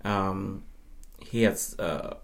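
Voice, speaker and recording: Low-voiced man, measured podcast guest. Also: steady tone. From Nova Scotia, Canada. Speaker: podcast guest, film fan. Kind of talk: conversational interview answers.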